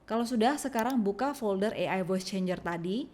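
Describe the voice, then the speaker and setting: A woman presenting a scripted software tutorial in an instructional, step-by-step manner. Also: medium pitch, animated, brisk.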